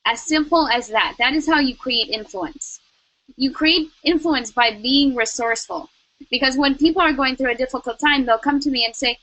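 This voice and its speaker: A young woman delivers motivational advice in a high, bright voice. She is emphatic and repeats her key lines for effect. The sound is close and dry with no room around it. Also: dry recording, poor audio. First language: Russian. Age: young adult. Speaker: network marketing co-host. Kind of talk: motivational talk.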